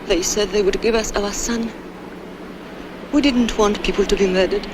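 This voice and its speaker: An actress playing a desperate wife. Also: female voice, mid-range voice, steady tone, normal speed, background noise, natural voice. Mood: defiant. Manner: urgent, pleading, defiant. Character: desperate Hungarian wife defending her husband. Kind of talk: 1960s TV crime drama acting. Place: outdoors in a city alley.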